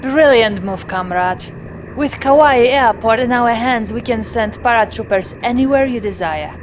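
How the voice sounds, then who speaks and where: An actress playing a loyal young Soviet intelligence officer giving video game mission briefings in a crisp, confiding military manner. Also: female voice, on the high side, unhurried, slight background noise, close to an everyday voice. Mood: brisk, approving.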